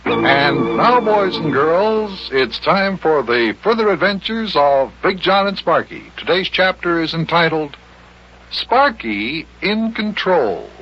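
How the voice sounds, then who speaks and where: A man's voice: on the high side, sing-song, slow pace, a little hiss or hum. A man playing a genial, folksy grown-up friend and narrator of a children's radio serial, speaking encouragingly. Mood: storytelling. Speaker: host and narrator. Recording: dull old radio recording with music.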